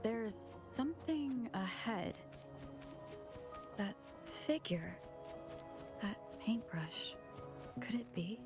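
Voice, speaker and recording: A woman's voice: fairly high pitch, expressive, drawn-out words, long pauses, music in the background. A woman playing a composed, determined young adventurer in a video game. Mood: curious, puzzled.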